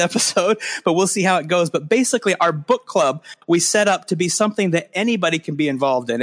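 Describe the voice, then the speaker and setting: A man hosting a casual podcast, talking in a rambling, self-deprecating way with lots of fillers and restarts. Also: fairly high pitch. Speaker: podcast host.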